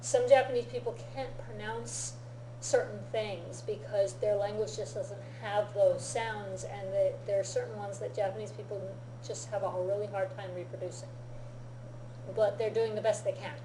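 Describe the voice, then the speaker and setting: A woman teaching a Japanese lesson, explaining patiently in an unhurried, easygoing way. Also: mid-range voice, steady tone, noisy background. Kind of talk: relaxed classroom-style lesson. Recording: echoey room.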